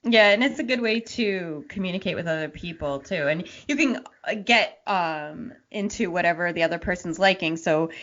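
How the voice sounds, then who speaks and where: A woman with a fairly low voice hosts a casual conversation. Her delivery is informal and conversational. The recording is a little dull and lo-fi. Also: normal speed, few pauses, a bit bright. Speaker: podcast host. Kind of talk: casual chat. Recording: dull lo-fi podcast audio.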